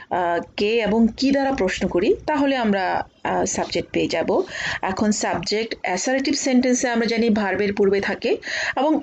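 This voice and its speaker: A woman with a Bangladeshi accent giving an explanatory grammar lecture as a college teacher, speaking haltingly with frequent filled pauses. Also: fairly high pitch, a little mellow, a little hiss or hum, low-quality recording. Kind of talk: explanatory online lecture with examples.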